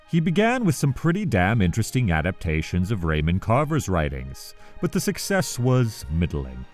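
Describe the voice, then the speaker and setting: A man presenting a scripted countdown video essay, with an animated, emphatic delivery that draws out key phrases. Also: sits low.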